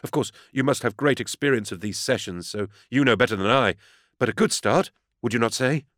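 A man's voice: medium pitch, expressive, fairly quick, fairly bright tone, dry recording, studio quality, voice pushed up, close to an everyday voice. A man voicing an eager, earnest young inquisitor in an audiobook, with a gushing, deferential and hesitant manner. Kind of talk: audiobook character dialogue.

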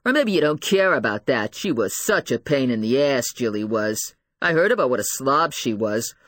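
A woman's voice: a bass voice, lively intonation, normal speed, dry recording. Audiobook role: aggressive policeman voiced by a woman, goading, tough, streetwise and demanding, in a dry studio sound. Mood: loud, goading. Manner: blunt, goading, slangy, demanding. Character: aggressive, bullying, tough male police investigator. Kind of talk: dramatised audiobook dialogue.

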